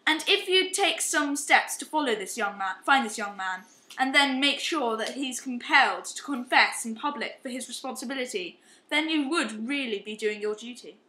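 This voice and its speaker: A woman's voice: a little high, lively intonation, normal speed, sharp tone. A woman acting a haughty, unrepentant middle-aged upper-class matron in an amateur radio play, imperious and self-righteous. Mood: haughty, defiant. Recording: roomy, echoing amateur recording.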